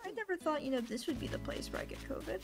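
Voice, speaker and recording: A young woman streamer with a rough, hoarse voice, chatting casually and rambling, often poking fun at herself. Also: high voice, lively intonation, fast. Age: young adult. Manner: rambling, self-deprecating, chatty. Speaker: live streamer. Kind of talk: casual gaming stream chat. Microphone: desk microphone. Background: music playing.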